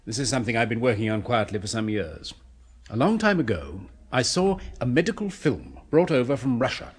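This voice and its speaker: Animated obsessive male neurosurgeon, medium pitch, clinical and brisk, 1970s radio play. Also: slightly rough. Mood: intense, persuasive. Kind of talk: 1970s radio drama acting.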